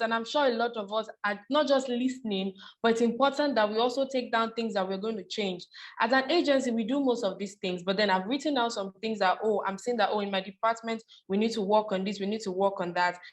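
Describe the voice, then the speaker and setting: Woman host, higher voice, bubbly, introduces speakers. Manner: bubbly, laughs often, warm thanks. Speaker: webinar host. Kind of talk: hosting, thanking and introducing speakers. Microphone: video call.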